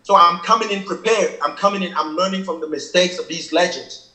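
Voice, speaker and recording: A man's voice: high-pitched, fairly quick, sharp tone. Man, earnest and explaining. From Cameroon. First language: Kenyang. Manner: earnest, explains at length, keeps asking "you feel me". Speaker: rapper explaining his lyrics. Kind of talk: casual reaction chat. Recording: echoey room.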